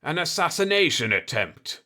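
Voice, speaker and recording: A man's voice: on the high side, sing-song, normal speed, pushed and bright, pitched above the performer's own voice, exaggerated voice. A man voicing a burly, pompous villain potentate in an audiobook story, florid and theatrical with his threats. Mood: outraged, menacing.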